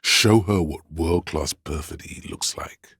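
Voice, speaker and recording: A profoundly low, husky male voice plays a sly, courtly inner counsellor in a video game. He narrates slowly and grandly, with heavy gravel in every phrase. The delivery is theatrical and scheming, like counsel given to a liege. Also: fairly bright tone, no echo, studio quality, heavily acted. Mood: sly, urging. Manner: grandiose, measured, dramatic.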